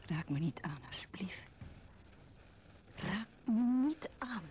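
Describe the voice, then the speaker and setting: An actress playing a withdrawn, wary, melancholy woman in an intimate radio play monologue, speaking in a breathy half-whisper. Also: medium pitch, lively intonation, takes it slowly, highs cut off, slight background noise. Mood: wary, pensive.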